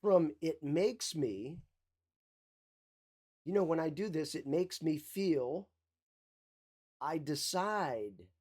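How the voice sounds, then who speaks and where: Husky-voiced man giving a motivational talk. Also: fairly high pitch, animated, slow pace, pauses often, a little mellow. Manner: emphatic, repeats key phrases. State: slight cough. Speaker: mindset coach.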